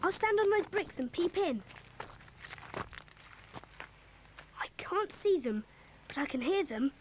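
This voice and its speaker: High-voiced bossy schoolboy leader. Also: female voice, sing-song, normal speed, a little hiss or hum, rough recording. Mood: tense, secretive. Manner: commanding, eager. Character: bossy, excitable schoolboy leader of a secret club. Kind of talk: children's audio drama acting.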